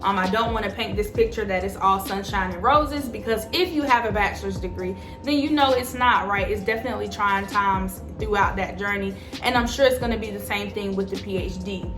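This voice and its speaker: A woman's voice: mid-range voice, brisk, few pauses, reverberant, music in the background. A woman in her twenties giving casual, emphatic advice to her viewers. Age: 20s. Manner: chatty, emphatic, addresses viewers as y'all. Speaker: vlogger. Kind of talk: casual advice chat to camera.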